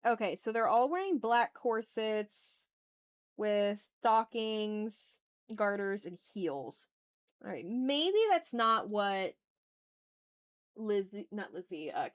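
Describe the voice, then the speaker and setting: A woman with a mid-pitched voice chats casually as the host of a drawing stream. She is candid and informal, talking through her thoughts out loud and dropping curse words freely. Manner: informal and candid, swears freely, thinks aloud. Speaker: art livestream host.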